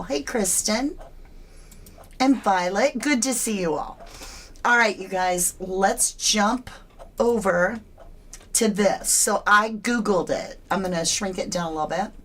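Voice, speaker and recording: A woman hosting a true crime live stream, chatting casually and greeting her viewers by name. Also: a little high. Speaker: true crime livestream host.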